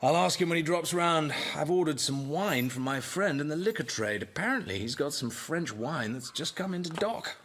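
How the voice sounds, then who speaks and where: An actor playing a well-off, patronising husband in colonial Calcutta in a radio drama, teasing with fond endearments. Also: male voice, a little high, animated, normal speed, hardly any pauses, fairly bright tone, slight background noise. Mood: contented.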